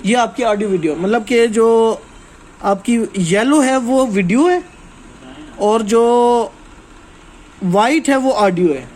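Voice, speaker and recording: A man's voice: high voice, expressive, slow pace, pauses often, a little mellow, slight background noise, poor audio. A man giving an informal hands-on technical lesson as a trainer, explaining step by step and often checking that listeners follow.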